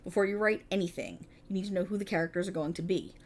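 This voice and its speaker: A woman's voice: on the low side, quick pace, a little mellow, natural voice. A woman playing a prickly, exasperated police officer in a scripted ASMR roleplay, talking in a wry, bossy, explanatory way to an unheard partner. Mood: instructive. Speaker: voice actor in audio roleplay. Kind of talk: scripted ASMR roleplay, one-sided dialogue.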